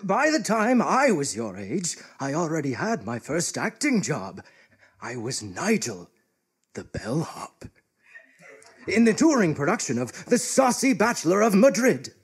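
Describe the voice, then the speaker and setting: An actor playing a genteel older former stage performer, raspy, florid and avuncular, in comic radio theatre. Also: male voice, a little high, sing-song, a little slow, full character voice. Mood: wistful, grand.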